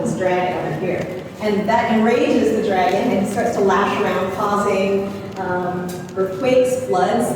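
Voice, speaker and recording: Woman talking about her art in a large, echoey gallery. She sounds thoughtful and halting, pausing on "uh" as she works through ideas. She is recorded from well off the mic with a steady hiss of room noise underneath. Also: mid-range voice. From Canada. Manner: reflective, unhurried, with frequent uh pauses. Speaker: visiting artist. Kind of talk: artist conversation in a gallery. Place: gallery space, indoors. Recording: echoey hall from far off, noisy.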